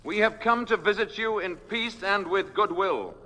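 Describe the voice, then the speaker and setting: An actor playing a solemn, peaceful alien in a radio drama, formal and declamatory. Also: male voice, high voice, normal speed, bright, forceful tone. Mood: solemn, benevolent. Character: solemn, peaceful male spaceman addressing humanity. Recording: echoing hall, noisy ambience.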